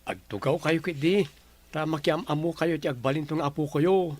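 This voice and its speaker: A man's voice: fairly high pitch, normal speed, a little mellow, lightly acted. An actor playing an earnest, polite young man with an Ilocano accent in a radio drama. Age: young adult. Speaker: grandson and boyfriend character.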